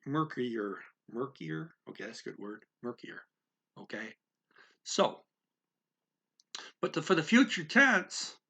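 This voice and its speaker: A man's voice: mid-range voice, vocal fry, sharp tone, husky. A man in his sixties, in a small room at home, filmed by a webcam at his desk, explains grammar in a casual lesson, repeating key words and checking in with "okay" and "right.". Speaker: language teacher.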